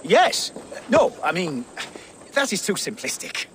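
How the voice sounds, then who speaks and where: Flustered high-voiced monk. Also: male voice, wildly swooping pitch, unhurried, a bit bright, slight background noise, full character voice. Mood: flustered, earnest. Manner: hesitant, stammering, pedantic. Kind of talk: video game cutscene acting.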